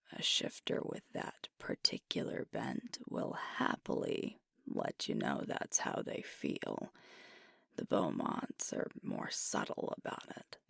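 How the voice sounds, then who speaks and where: A woman playing a frustrated, doubting alpha werewolf pack leader in an intimate ASMR roleplay, speaking breathily and deliberately into a close microphone. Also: very deep voice, no echo, heavily acted. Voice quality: breathy, whispery.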